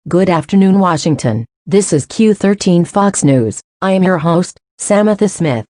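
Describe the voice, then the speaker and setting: A woman playing a serious, composed local television news host, reading a scripted broadcast in a formal, measured way. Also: fairly low pitch, lively intonation, dark tone, dry recording, clean studio sound, lightly acted. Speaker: news anchor.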